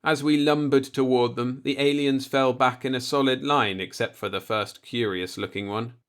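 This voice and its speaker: Guy reading a story out loud, audiobook narration, mid pitched voice. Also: steady tone, normal speed, clear, resonant tone. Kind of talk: scripted story narration, read aloud.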